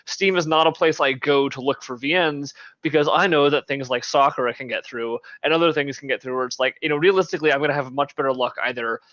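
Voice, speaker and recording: A man talks quickly and brightly in a casual podcast discussion. He rambles and hedges his way through opinions, and the recording sounds a bit dull and roomy. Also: medium pitch. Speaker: podcast co-host.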